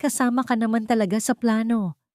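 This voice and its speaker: A woman voicing a calm, murderous villainess who mocks and threatens her captives in an audio drama story. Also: fairly high pitch, brisk, no echo, clean studio sound, pitched above the performer's own voice, lightly acted. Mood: cold, commanding. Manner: calm, menacing, mocking.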